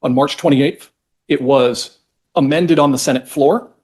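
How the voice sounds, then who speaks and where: A man speaking as a lawyer in court, methodically walking a judge through legal history in an explanatory, slightly halting way. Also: mid-range voice, a little mellow.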